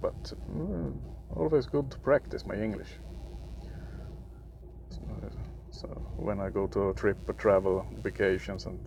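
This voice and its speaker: Swedish guy talking through a game, super gravelly voice, halting and hunting for words, faint hiss behind. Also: fairly low pitch, expressive, darkish tone, low-quality recording. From Sweden. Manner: halting, searching for words, frequent fillers. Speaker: gaming video creator. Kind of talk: casual gameplay commentary.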